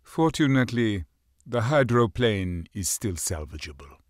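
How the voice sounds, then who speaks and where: An actor playing a smug, sneering older-brother warlord in a video game, mocking and drawling. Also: male voice, lowish voice, very animated, a little slow, dry recording, clean studio sound. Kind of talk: video game villain acting.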